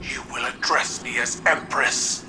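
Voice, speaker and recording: An actress playing a stern, burdened alien warrior empress with an imperious, formal way of speaking in a video game. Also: female voice, very deep voice, fairly even intonation, measured pace. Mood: bitter, ashamed. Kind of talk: dramatic video game acting. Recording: dramatic music behind the voice.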